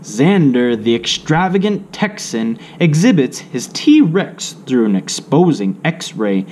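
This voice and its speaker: Guy reading an alphabet kids book out loud, really slow, pitch moves around a lot, bit of noise behind him. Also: sing-song. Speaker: children's book read-aloud narrator. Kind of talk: slow read-aloud of an alphabet picture book. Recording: faint background noise.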